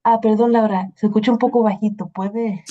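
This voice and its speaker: A woman's voice: medium pitch, fairly even intonation, brisk, warm tone. Polite woman moderator on lo-fi call. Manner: polite and welcoming. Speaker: webinar moderator. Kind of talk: introducing a speaker. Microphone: video call.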